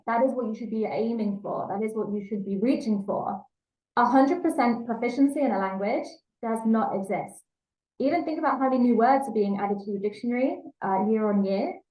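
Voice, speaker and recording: English lady teaching learners, earnest and explaining, a bit high pitched, echoey room sound. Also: warm tone. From England. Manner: earnest, explanatory, repeats key points for emphasis. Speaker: language teacher.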